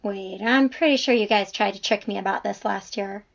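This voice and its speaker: A woman's voice: medium pitch, normal speed, gravelly, dull audio, natural voice. A woman playing a friendly, cautious professor in an audio drama, talking in an informal, tentative way. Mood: curious.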